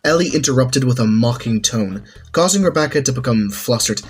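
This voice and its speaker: A man's voice: mid-range voice, expressive, a little fast, slightly rough. A man reading a scripted story as its narrator. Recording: music under the voice. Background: background music.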